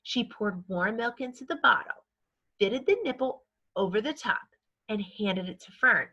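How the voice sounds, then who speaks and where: Bright, husky-voiced woman reading a story. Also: medium pitch, lo-fi sound. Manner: measured, acts out dialogue. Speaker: storybook reader. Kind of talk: read-aloud children's story narration.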